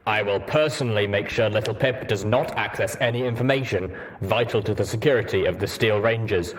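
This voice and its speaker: A man voicing a stern, commanding armored pony officer who speaks smoothly and with curt authority. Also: lowish voice, a little fast, lightly acted.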